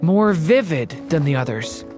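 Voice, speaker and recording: A woman's voice: low-pitched, expressive, a little slow, music in the background. A woman voicing a young, friendly, thoughtful traveling heroine in a video game. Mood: light, thoughtful. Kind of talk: English video game voice acting.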